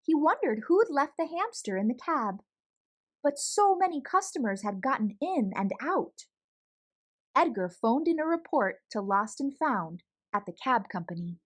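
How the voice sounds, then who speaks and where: Woman doing kids story time, higher voice, really animated, up and down a lot. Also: measured pace. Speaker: read-aloud storyteller. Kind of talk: expressive children's picture book narration.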